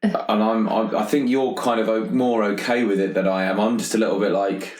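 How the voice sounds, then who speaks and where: Deep-voiced man playing a roleplaying game, echoey room. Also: fairly even intonation, brisk, darkish tone. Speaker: player in a tabletop roleplaying game. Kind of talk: casual, thinking aloud about his character.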